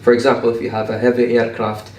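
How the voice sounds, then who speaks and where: A man giving halting, technical explanations as an interviewed manager. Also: mid-range voice, completely flat delivery, a little mellow. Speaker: aerospace management head. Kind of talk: interview answers explaining projects. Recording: echoey room, distant mic, faint noise.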